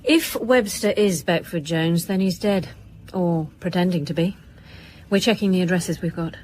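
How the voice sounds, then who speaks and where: A woman's voice: lowish voice, normal speed, darkish tone, a little hiss or hum, natural voice. A woman acting a dry, sceptical detective chief inspector with a clipped, questioning manner in a radio drama. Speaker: actor playing police detective. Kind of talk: naturalistic radio drama dialogue.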